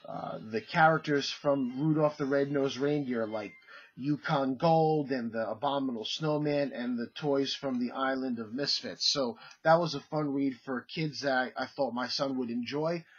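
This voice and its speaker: Casting: stuffy-nosed guy, husky voice, rambling casual collector vlog, dull lo-fi sound. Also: medium pitch, moderate pace. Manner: rambling, many fillers and restarts. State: congested, under the weather. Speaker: comic collecting vlogger. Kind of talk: casual show-and-tell haul video.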